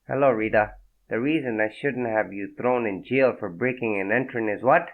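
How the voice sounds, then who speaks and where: A worn-out, streetwise mobster who talks bluntly and gets short-tempered, as an audiobook narrator gives him a voice. It's a low male voice with lively swings, and the recording sounds tinny, like a phone line. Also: moderate pace, lightly acted. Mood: impatient. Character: tired tough-talking young mobster man.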